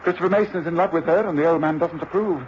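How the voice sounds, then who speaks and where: Guy playing knowing detective, self-assured, talks quick, radio drama, dull noisy sound. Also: a little high, lightly acted. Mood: keenly observant. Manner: precise, knowing. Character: shrewd, brisk, self-assured gentleman detective.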